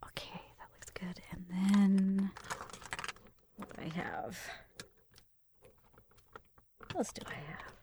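A woman performing an ASMR roleplay in a gentle, breathy whisper, murmuring through each step close to the microphone. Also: mid-range voice, steady tone, slow, pauses often, background noise. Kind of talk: ASMR roleplay, soft personal attention. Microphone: close microphone, dry sound.

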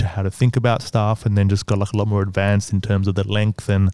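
A man with a low, smooth voice talks as a podcast guest. He has a stutter and fills his speech with likes and ums. The sound is dry and close. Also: little pitch movement, a little fast, a little mellow, no room sound. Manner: hesitant, filler-heavy, stuttering. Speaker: guest, business owner. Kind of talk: casual podcast conversation.

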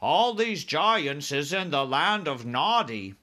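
A man voicing a big, friendly, simple giant in a storybook, earnest and excitable, with mangled grammar and muddled made-up words. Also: fairly high pitch, slow, pitched above the performer's own voice, exaggerated voice. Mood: earnest explaining. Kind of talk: storybook character voice.

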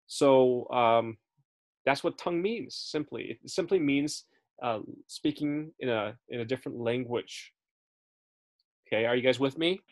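A man's voice: mid-range voice, measured pace. A man teaching a Bible study in a casual, chatty way, often checking in with his listeners and correcting himself as he goes. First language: English. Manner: frequent fillers and self-corrections.

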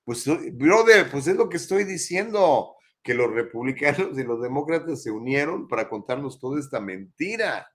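A man's voice: mid-range voice, animated, moderate pace, hardly any pauses, fairly bright tone. A middle-aged Mexican man hosting a live talk show, casually reading viewer comments aloud and answering them. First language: Spanish.

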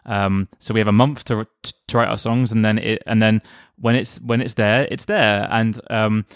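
A man co-hosting a relaxed music talk show, musing with lots of fillers and restarts. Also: fairly low pitch, normal speed, clean tone, dry recording, clean studio sound. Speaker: podcast co-host. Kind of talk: relaxed conversational chat.

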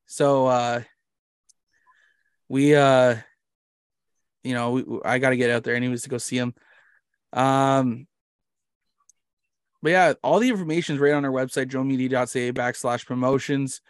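A man's voice: medium pitch, fairly even intonation, normal speed, long pauses, clear, resonant tone, no room sound, studio quality. A man with a Canadian accent hosting a podcast in casual chat, rambling, jokey and self-deprecating. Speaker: podcast host.

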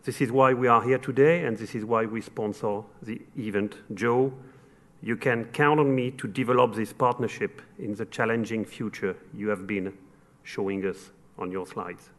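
A man from France with a French accent giving earnest, formal remarks as an event sponsor, in short measured phrases. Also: lowish voice, fairly even intonation. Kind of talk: formal closing remarks at a luncheon speech.

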